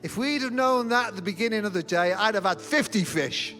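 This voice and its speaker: High-voiced pastor preaching over music. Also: male voice, fairly quick, sharp tone. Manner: humorous self-deprecating storytelling. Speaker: senior pastor. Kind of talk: sermon. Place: church auditorium.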